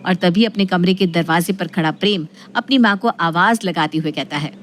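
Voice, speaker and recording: A woman's voice: lowish voice, moderate pace. Woman narrator, faint background noise. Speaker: story narrator. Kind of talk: scripted narration.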